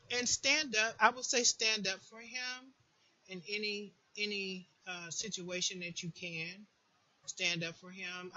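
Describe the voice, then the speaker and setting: A woman's voice: on the low side, pushed and bright, lo-fi sound. A woman giving informal spoken advice as a host, with an emphatic manner that repeats words for stress. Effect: voice distortion late in the recording. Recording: faint background noise.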